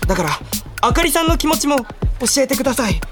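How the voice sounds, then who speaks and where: Animated, boyish, earnest young man. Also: sits high, quick pace, over music. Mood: earnest confession. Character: earnest, polite young man, junior colleague. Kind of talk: radio drama acting.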